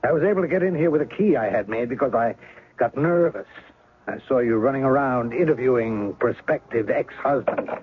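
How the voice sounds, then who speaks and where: A man's voice: medium pitch, fairly quick, slight background noise, natural voice. An actor playing a cold, scheming man who speaks in clipped, threatening lines. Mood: menacing. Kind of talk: 1970s radio drama acting. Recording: narrow 1970s radio broadcast.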